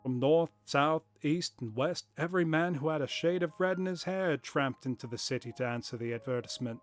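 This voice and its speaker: A man's voice: medium pitch, lively intonation, normal speed, faint music behind, close to an everyday voice. A man reading aloud, voicing a talkative middle-aged shopkeeper in a put-on period accent as he tells his story.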